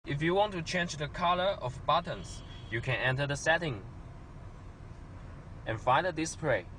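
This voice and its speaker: A man's voice: a little high, animated, normal speed, noisy background. A man giving a scripted product demonstration with non-native English phrasing.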